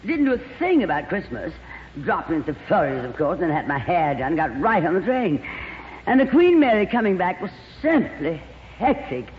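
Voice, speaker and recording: An actress playing a glamorous, worldly, gossipy stage actress in a 1940s radio comedy, theatrical and full of endearments. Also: female voice, on the low side, sing-song, moderate pace. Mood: gushing. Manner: theatrical, drawls endearments like darling. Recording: old radio broadcast, reverberant, dull, faint hiss.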